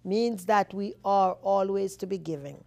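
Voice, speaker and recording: A woman with a Jamaican accent hosting a show, reading favorite quotes in a slow, deliberate way with emphatic repeats. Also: mid-range voice, creaky, darkish tone. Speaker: host.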